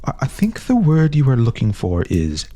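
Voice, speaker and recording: A man's voice: medium pitch, wildly swooping pitch, normal speed, dark tone, no echo, pitched above the performer's own voice. Man voicing a cocky boy gang leader. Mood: knowing. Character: bossy, worldly older boy leading his gang.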